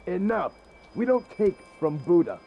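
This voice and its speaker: Casting: a bossy bandit chief for a game, raspy creak, pitched high, barking and threatening, music behind. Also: male voice, big pitch swings, a little slow, dull audio, heavily acted. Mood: angry, threatening. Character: bossy, hot-tempered male bandit leader. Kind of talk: scripted video game voice acting.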